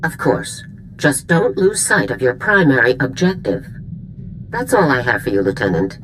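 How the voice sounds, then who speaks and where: A businesslike woman general in a game cutscene. Her voice is deep and strongly inflected, with commanding authority. A faint ambient noise sits behind her. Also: sing-song, moderate pace, fairly bright tone, heavily acted, poor audio. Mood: stern, businesslike. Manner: crisp military briefing, commanding. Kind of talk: video game cutscene acting.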